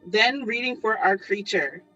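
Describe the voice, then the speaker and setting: A woman's voice: mid-range voice, animated, measured pace, sharp tone. A woman hosting, reading out a cast list as a curtain-call announcement. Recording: dull audio, faint music.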